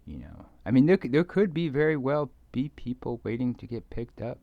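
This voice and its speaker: Low gravelly guy rambling casually, keeps stumbling and trailing off, kinda muffled lo-fi audio. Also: big pitch swings, no room sound. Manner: hesitant, halting, trailing off, often repeating words. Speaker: video creator speaking to viewers.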